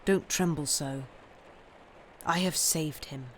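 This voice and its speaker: A woman's voice: low voice, takes it slowly. A woman voicing an ardent, proud young husband in a novel reading, earnest and declamatory. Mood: tender, proud. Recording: clearly noisy live stream recording.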